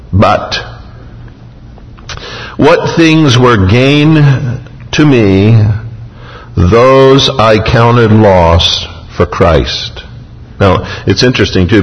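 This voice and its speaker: A man preaches with a low voice, emphatic and lively as he retells scripture in the first person. His words ring out with a long reverb, as in a large sanctuary. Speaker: pastor. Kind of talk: sermon.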